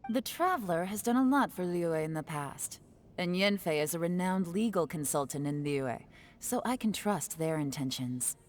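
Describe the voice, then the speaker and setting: A woman's voice: fairly low pitch, lively intonation, moderate pace, faint music behind, lightly acted. A woman voicing a cool, self-assured female agent in a video game, composed and dry with a subtle menace. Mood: cool, firm.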